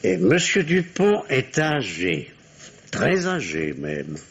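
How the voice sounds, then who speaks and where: An actor playing a middle-aged provincial doctor in an old French radio mystery, sardonic yet privately ashamed, with formal, theatrical diction. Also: male voice, medium pitch, wildly swooping pitch, slow, creaky, husky, heavily acted. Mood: sly, needling. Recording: dull, noisy old radio recording.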